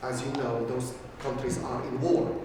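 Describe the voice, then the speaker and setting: A man from Syria, a foundation director, introducing himself in an earnest, measured way. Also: medium pitch, flat delivery. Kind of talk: self-introduction. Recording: echoey room from far off with noise.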